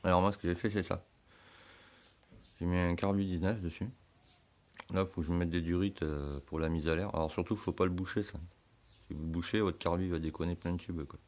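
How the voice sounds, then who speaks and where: A hoarse man giving a relaxed, slangy how-to explanation full of fillers, in a home garage. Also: deep voice, a little fast, pauses often. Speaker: motorbike hobbyist.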